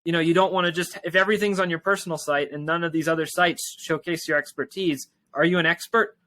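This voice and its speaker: A man's voice: on the high side, steady tone, fast talker, sharp tone, no room sound. A man speaking as an interview guest in a casual, rambling, informal way. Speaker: tech company founder and interview guest.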